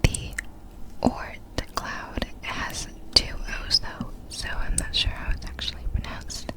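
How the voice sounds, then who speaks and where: Woman, ASMR narration, breathy whisper, very unhurried, careful phrasing. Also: poor audio. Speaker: ASMR creator.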